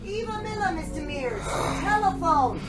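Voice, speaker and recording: Concerned landlady, high voice, brief matter-of-fact phrases, loud music behind, radio drama. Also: lively intonation, moderate pace.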